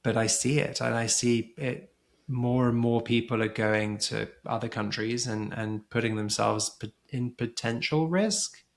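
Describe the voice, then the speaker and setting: A man's voice: mid-range voice, steady tone, a little slow. A man talking as a guest in a podcast interview, explaining things thoughtfully and often restarting his sentences. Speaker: podcast guest, surrogacy community co-founder. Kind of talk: casual conversational interview.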